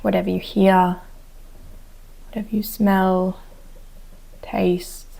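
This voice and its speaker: A woman teacher leading a guided meditation with gentle, unhurried instructions. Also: medium pitch, little pitch movement, gravelly, a little hiss or hum, rough recording. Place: outdoors on a wooden deck. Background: chickens and a rooster.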